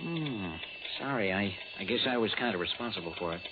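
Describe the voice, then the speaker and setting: Insurance agent, played by a man: exasperated American male, quick and very animated, emphatic, muffled old radio sound. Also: on the low side, background noise, natural voice. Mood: sheepish. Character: exasperated, dismissive male insurance agent, fretting over premiums. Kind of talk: 1960s radio drama acting.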